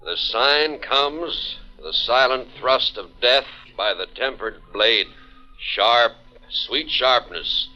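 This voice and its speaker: Pompous, animated hack poet. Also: male voice, medium pitch, slow pace, exaggerated voice. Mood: indignant. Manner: declamatory, pompous. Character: pompous, rapid-fire male hack poet, indignant. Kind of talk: 1940s radio drama acting. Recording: muffled old radio recording with music.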